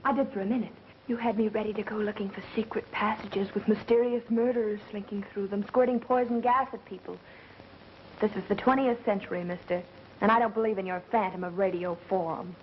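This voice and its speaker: A woman playing a sharp-tongued skeptic in an old mystery picture. She snaps out sarcastic wisecracks. The soundtrack is muffled with a slight hiss. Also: mid-range voice, steady tone, brisk, natural voice. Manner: snappy wisecracking. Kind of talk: 1930s mystery film acting. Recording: muffled, hissy 1930s film soundtrack.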